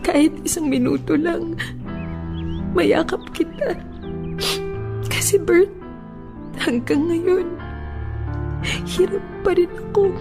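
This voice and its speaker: A woman's voice: very high voice, takes it slowly, long pauses, heavily acted. An actress playing a tender, grieving young woman in a Filipino radio romance drama, speaking emotionally with a Filipino accent over music. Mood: grieving, pleading. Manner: emotional, heartfelt delivery. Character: grieving young woman, tender, torn about loving again. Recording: music bed under the voice.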